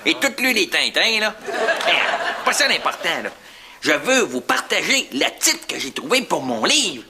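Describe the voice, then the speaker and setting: A man's voice: high-pitched, big pitch swings, unhurried, sharp tone, a little hiss or hum, full character voice. An actor playing a boastful, dim, enthusiastic self-styled guru, a man with a Quebec French accent who rambles cockily. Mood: excited, boastful. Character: boastful, dim, excitable negative-thinking guru.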